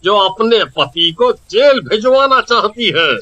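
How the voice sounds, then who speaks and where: Shrill, scheming cartoon sweet-seller. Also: male voice, animated, pushed and bright, exaggerated voice. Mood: exasperated. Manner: whining, exaggerated, drawn-out delivery. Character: scheming, jealous village sweet-seller, henpecked husband. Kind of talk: Hindi cartoon voice acting.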